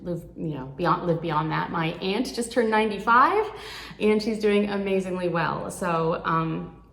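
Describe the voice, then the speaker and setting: A woman of sixty talking candidly and earnestly to her viewers, reflecting at a rambling, thoughtful pace. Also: lowish voice. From United States. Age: 60s. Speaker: vlogger. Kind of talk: candid reflective chat to camera. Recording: echoey room.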